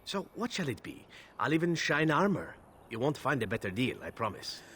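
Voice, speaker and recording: A man's voice: medium pitch, big pitch swings, moderate pace, a bit bright, a little hiss or hum. An actor playing a roguish, silver-tongued assassin for hire in a video game, flirting and teasing as he talks. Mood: flirtatious, teasing. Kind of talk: video game character dialogue.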